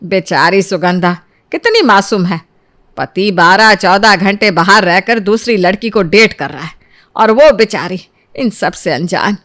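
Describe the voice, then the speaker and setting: A woman voicing a kindly, doting middle-aged mother-in-law in an animated family story. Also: mid-range voice, measured pace. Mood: sympathetic, consoling. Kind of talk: animated story dialogue in Hindi.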